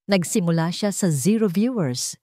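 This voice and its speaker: A woman with a Filipino accent narrating a scripted dramatic story in deliberate phrasing. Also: lowish voice, expressive, dark tone, no room sound, studio quality.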